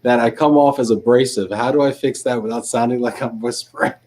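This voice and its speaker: Man, panel host, mid-pitched voice, self-deprecating, stumbles over words, video call. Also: fairly even intonation, a little fast, background noise. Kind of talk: live panel discussion.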